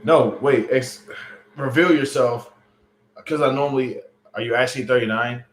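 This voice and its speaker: A man's voice: mid-range voice, fairly even intonation, moderate pace, a little hiss or hum. A man in his late thirties talks casually to his livestream chat. He uses lots of fillers and teasing asides, with a mid-range voice. The sound is roomy and echoey. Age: late 30s. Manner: loose, chatty, joking with chat, lots of fillers. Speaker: livestream host. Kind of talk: casual livestream chat reading viewer comments. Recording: roomy echo on a home stream mic.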